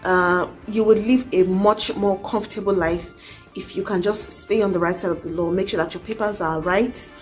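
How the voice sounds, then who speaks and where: A woman's voice: mid-range voice, fairly even intonation, normal speed, faint music behind. Earnest young woman giving advice. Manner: earnest, exhorting, frequent "you know". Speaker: vlogger. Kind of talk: casual advice vlog to camera.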